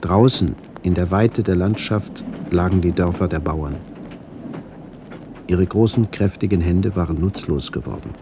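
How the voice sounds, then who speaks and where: A man reading scripted literary memories for a radio documentary in measured, fragmentary phrases. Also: low voice, background noise. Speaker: narrator recalling childhood memories.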